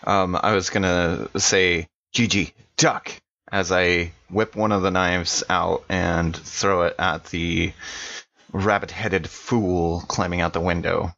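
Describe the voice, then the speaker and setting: A man takes part in a tabletop roleplaying game, casually stating his character's moves. He has a low voice and takes his time over words. The recording is a little dull and muffled. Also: steady tone, slow, creaky, fairly bright tone.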